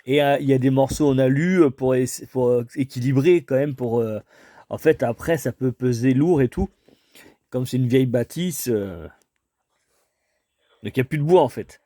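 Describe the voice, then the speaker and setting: A man's voice: medium pitch, normal speed, dark tone, no echo, studio quality. A man in a casual phone conversation, explaining things in a rambling, hesitant way. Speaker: homeowner taking a cold call.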